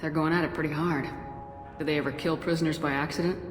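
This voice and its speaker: A firm female space commander from a video game played by an actress. Her voice is deep and her manner direct and probing. She is heard in a reverberant hall with loud music. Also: fairly even intonation, quick pace, close to an everyday voice. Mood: cool, probing.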